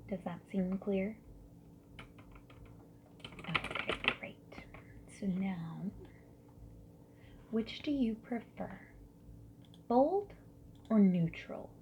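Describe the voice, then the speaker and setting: A woman doing a soft-spoken ASMR roleplay as a friendly survey interviewer, slipping between whispers and quiet speech. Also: mid-range voice, animated. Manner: friendly, gentle, unhurried questioning with soft acknowledgements. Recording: lo-fi muffled sound with background noise.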